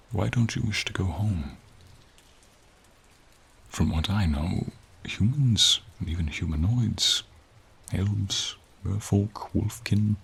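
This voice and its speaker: A man voicing a gentle, encouraging silver dragon in a fantasy ASMR roleplay, with a husky, near-whispered voice and slow, tender, courtly phrasing, over rain. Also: on the low side, full character voice. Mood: puzzled, questioning. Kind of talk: fantasy ASMR roleplay, soft intimate acting. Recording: steady rain behind the voice.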